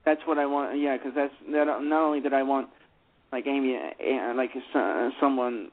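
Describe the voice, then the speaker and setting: A man's voice: medium pitch, steady tone, moderate pace. A man talking about how he adapted a play, rambling with many restarts and fillers. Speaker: playwright discussing his adaptation. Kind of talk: casual radio discussion. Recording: phone-line radio call.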